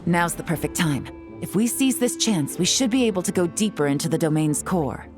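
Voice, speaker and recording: Shrewd, composed female tactician for a game, woman actor. Lowish register, measured, cautious, knowing. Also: animated, music in the background, close to an everyday voice. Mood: cautious, focused. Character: cool, calculating female strategist, composed and shrewd. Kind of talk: video game voice acting.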